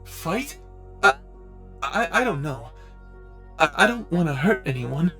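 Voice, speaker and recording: A man's voice: a little high, very animated, vocal fry, sharp tone, faint music behind, heavily acted. A man voicing an innocent, bewildered newborn cyclops with childlike, stammering speech in a fantasy ASMR roleplay. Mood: anxious. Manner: stammering, hesitant, simple halting speech.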